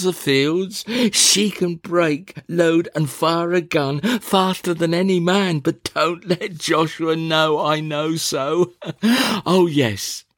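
A man voicing a fussy, fretful middle-aged mother in a one-man reading of a stage play, shrill and prone to outbursts. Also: a bit bright, dry recording, studio quality.

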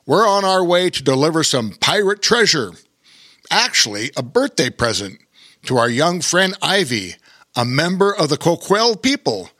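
A man voicing a kind, cheerful pirate in a children's story, who explains things gently. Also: on the high side, sing-song, moderate pace, no echo, studio quality, pitched above the performer's own voice, exaggerated voice. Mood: gentle.

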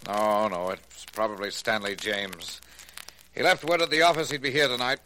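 An actor playing a stubborn, hot-tempered doctor in a 1940s radio drama, curt and dismissive in manner. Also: male voice, lowish voice, fairly even intonation, normal speed, pushed and bright. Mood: agitated. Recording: noisy, crackly old radio recording.

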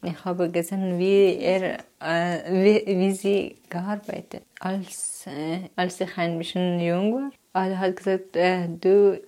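A woman from Afghanistan telling her plans in halting German, pausing often to search for words. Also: on the low side, little pitch movement, mellow. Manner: hesitant, searching for words with many pauses. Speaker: interviewee. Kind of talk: halting personal account in learner German.

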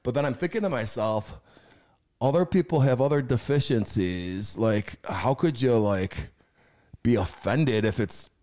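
A man's voice: medium pitch, lively intonation, unhurried, dark tone. A man with a slight lisp, a podcast guest talking bluntly and with a lot of swearing. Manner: blunt, profane, emphatic; laughs while telling stories. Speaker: guest, entrepreneur. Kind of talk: casual podcast chat.

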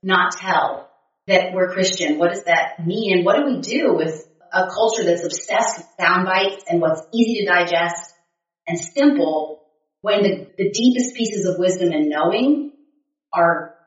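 A woman co-hosting a podcast, talking about faith in an animated way and building one idea on another. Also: on the low side, unhurried. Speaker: podcast co-host. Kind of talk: reflective faith conversation. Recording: echoey room, distant mic, muffled.

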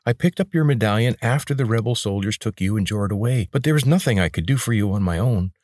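Male narrator doing a soft-spoken young queen, earnest, audiobook figure. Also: lowish voice, expressive, fast talker, no room sound, studio quality, pitched above the performer's own voice.

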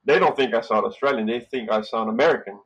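A middle-aged man from Brazil, a hotel manager and podcast guest, telling relaxed stories with lots of fillers and tag questions. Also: medium pitch, quick pace, husky, lo-fi sound. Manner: relaxed storytelling with frequent fillers and "right?". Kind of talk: casual interview conversation.

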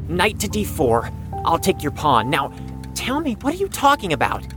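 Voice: medium pitch, huge pitch swings, moderate pace, over music. An actor playing an anxious, proud fifteen-year-old in an audio drama, speaking formally and precisely and stammering when flustered. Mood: indignant, flustered.

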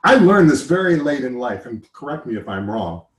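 A man's voice: mid-range voice, very animated, moderate pace, roomy sound, rough recording. A man with an American accent, hosting a casual chat in a joking, rambling way that often interrupts itself. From United States. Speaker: podcast host.

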